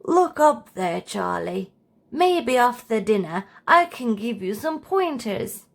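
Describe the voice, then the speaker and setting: A woman's voice: a little high, big pitch swings, takes it slowly, creaky, full character voice. A woman voicing a kindly, elderly grandfather giraffe who explains patiently in a children's storybook. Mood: encouraging.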